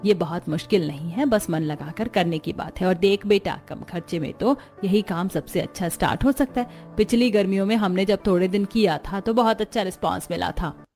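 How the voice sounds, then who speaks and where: A voice actress playing an older mother-in-law who runs the household with caring firmness, in an animated story. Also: medium pitch, fairly quick, faint music behind, close to an everyday voice. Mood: coaxing. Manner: motherly, gently commanding.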